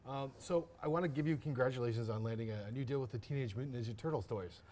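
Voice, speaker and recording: A man's voice: mid-range voice, fast, mellow, a little hiss or hum. Man asking hesitant interview questions. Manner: brief affirmations, hesitant questions. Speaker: student interviewer.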